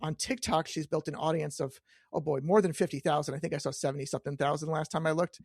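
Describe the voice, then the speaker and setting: A man's voice: fairly high pitch, steady tone, fast, gravelly, a little mellow, dry recording, studio quality. A man hosting a chatty interview podcast, joking and self-deprecating as he trips over his words and corrects himself. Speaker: podcast host.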